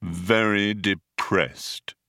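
A gloomy robot acted by a male audiobook reader speaks in a slow, muffled drone. He sounds dejected and self-pitying, resigned to being disliked. The sound is dry, with no room around it. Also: on the low side, steady tone, sharp tone, no echo, full character voice. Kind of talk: audiobook character voice.

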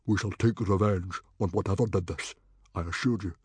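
A man's voice: medium pitch, wildly swooping pitch, measured pace, warm tone, slightly rough, no room sound, voice pushed up, exaggerated voice. A man voicing a bellowing, blunt and suspicious Viking chief in a storybook reading. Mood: solemn. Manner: roaring, emphatic, blunt.

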